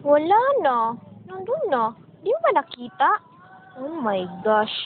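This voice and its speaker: A woman's voice: sits high, sing-song, measured pace. A woman playing a gossipy, teasing young café worker with a Filipino accent, sassy and theatrical, in an amateur romance radio drama. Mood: teasing, dramatic. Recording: tinny phone-like band, faint background noise.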